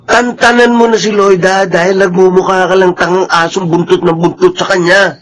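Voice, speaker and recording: A blunt male sidekick in a Filipino radio drama. His voice is very high for a man. He scolds and teases mockingly. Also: little pitch movement, moderate pace, fairly bright tone. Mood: scolding. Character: blunt, teasing male best friend of the suitor.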